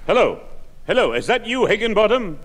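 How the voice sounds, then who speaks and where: Lively, calming older father. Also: high voice, a little fast. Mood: worried. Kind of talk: 1960s radio comedy acting. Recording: old radio recording with long reverb.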